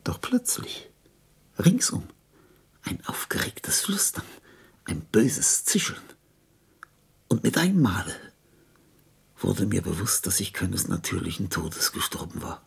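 A sick old artist in a radio drama monologue. The voice is low and badly husky, with a gravelly creak. He is morbid, fussy and brooding, speaking with theatrical weight. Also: huge pitch swings, slow, pauses often, no room sound, full character voice. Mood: haunted whisper. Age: older. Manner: theatrical, brooding, slips into whispering.

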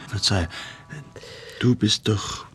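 Ailing middle-aged writer, low-pitched, halting, brooding, sardonic, restless, radio drama, faint background noise. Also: male voice, fairly bright tone. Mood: agitated, sardonic. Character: ailing, self-doubting writer and court official, restless and sardonic. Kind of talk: German radio play acting.

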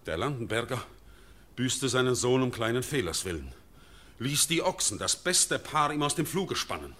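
A man's voice: medium pitch, sing-song, pushed and bright. A grave, sorrowful nobleman. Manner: solemn, measured recounting. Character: grave middle-aged Swiss nobleman, sorrowful messenger. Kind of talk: classic German radio play acting.

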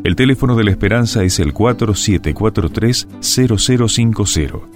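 A man reading a scripted public service radio announcement, with soft guitar music behind him. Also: fairly low pitch, moderate pace. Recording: music bed under the voice.

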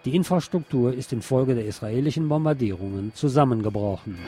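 A man's voice: medium pitch, lively intonation, a little fast, loud music behind the voice. A man with a standard German accent reading scripted news as a radio news reader.

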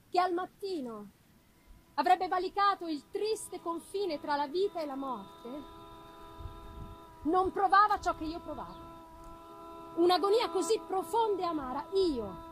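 A woman's voice: sits high, slight background noise, poor audio. Woman reading as a brooding, guilt-tormented man. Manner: brooding, slow dramatic phrasing. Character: guilt-tormented man narrating his own agony. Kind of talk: dramatic literary narration. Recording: live music behind.

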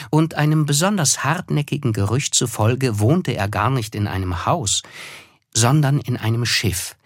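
An actor playing an observant, reflective writer who narrates a German literary radio drama. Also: male voice, mid-range voice, no echo, clean studio sound. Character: reflective, observant male writer recalling his glamorous neighbour.

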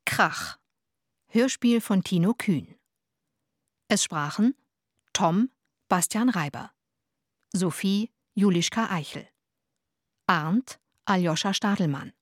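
A woman's voice: mid-range voice, animated, slow, pauses often, no echo, clean studio sound. A woman reading a scripted radio play credits announcement as announcer.

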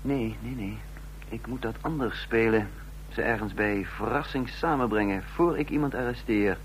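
A man's voice: on the low side, moderate pace, slight background noise, close to an everyday voice. An actor playing an uneasy impostor secret agent in a Dutch radio drama, hesitant and musing as he tells his story. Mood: calculating. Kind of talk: Dutch radio drama acting and first-person narration.

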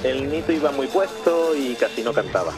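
An actor playing a jaded rock band member who recounts a disastrous tour in a matter-of-fact, vulgar way. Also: male voice, medium pitch, lively intonation, normal speed, music in the background, close to an everyday voice, poor audio. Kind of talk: mockumentary radio drama testimony.

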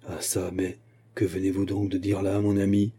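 Guy doing a blunt fearless old musketeer, very dignified, dark and husky, storybook adventure. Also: low voice, monotone, moderate pace. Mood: unshaken.

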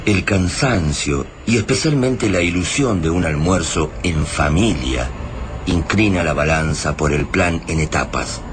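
A man's voice: deep voice, expressive, a little slow. An actor with an Argentine accent playing a solemn, literary male narrator in a sci-fi radio drama. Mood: solemn, suspenseful. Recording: clearly noisy ambient sound bed. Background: noisy ambience.

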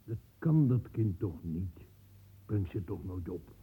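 A man's voice: low-pitched, animated, slow pace, warm tone, breathy edge, exaggerated voice. A man playing a reluctant, henpecked father in a radio play, answering tersely with resigned muttering. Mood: weary protest. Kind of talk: naturalistic radio play dialogue. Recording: muffled, band-limited old radio play recording.

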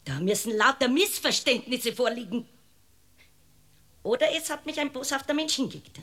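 A woman's voice: fairly high pitch, expressive, moderate pace, sharp tone. An actress playing an indignant, gossipy village woman with a Bavarian accent in a comic radio play, talking on self-righteously. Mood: aggrieved innocence. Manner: voluble, self-righteous, complaining. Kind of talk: comic radio courtroom drama.

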